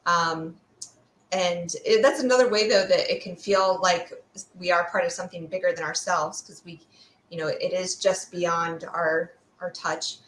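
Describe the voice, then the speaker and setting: A woman's voice: fairly low pitch, fairly even intonation, a little slow, fairly bright tone, slight background noise. A woman speaking as a guest expert in an interview, explaining ideas in a conversational way. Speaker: guest expert, fear sociologist. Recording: roomy, echoey sound.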